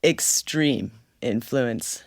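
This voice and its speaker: A musician guest with a slightly raspy voice, chatting easily and laughing often in an interview. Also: medium pitch, extremely slow, dry recording, studio quality. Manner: easygoing, laughs often, talks freely. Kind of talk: casual interview chat.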